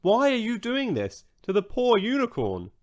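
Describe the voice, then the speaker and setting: A man's voice: high-pitched, huge pitch swings, normal speed, pitched above the performer's own voice. A man voicing a sweet, wishful young female fairy in a storybook, exclaiming and pleading. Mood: concerned.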